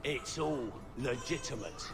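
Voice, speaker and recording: A man's voice: medium pitch, slow, lightly acted. A man playing a shady merchant in a video game, glib and defensive in manner. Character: shady, defensive male merchant of smuggled goods. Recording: roomy, game music behind.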